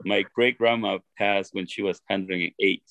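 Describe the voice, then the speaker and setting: A deep, gravelly-voiced man from Ecuador with an Ecuadorian Spanish accent, recorded close and dry. He is middle-aged and talks through his story in an earnest, halting way, often restarting his sentences. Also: steady tone, fairly bright tone, no room sound, poor audio. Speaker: interview guest. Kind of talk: reflective personal storytelling.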